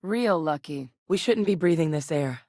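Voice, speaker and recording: A woman voicing a composed, principled female scientist officer in a video game, speaking in a measured, earnest, direct way. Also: low-pitched, animated, darkish tone, no echo, clean studio sound. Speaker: game companion character. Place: recording studio. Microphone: close studio mic.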